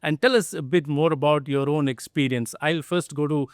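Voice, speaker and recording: Earnest male podcast host, higher voice. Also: steady tone, moderate pace, no echo, clean studio sound. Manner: earnest, with frequent "uh" fillers and "really". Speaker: podcast host, company CEO. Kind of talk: interview hosting, introducing guests.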